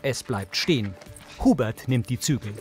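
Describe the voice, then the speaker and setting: A man reading scripted audio description of TV scenes in the present tense, measured and matter-of-fact. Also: medium pitch, animated, soft background music.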